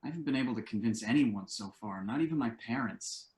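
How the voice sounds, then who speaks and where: A man's voice: mid-range voice, animated, fast, a little mellow, natural voice. Guy playing a wry, pedantic grown son in a play reading, dry delivery, really echoey room. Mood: discouraged. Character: wry, discouraged adult son and husband, pedantic. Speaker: actor in a virtual table read. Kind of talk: stage comedy-drama table read. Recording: echoey room on online call.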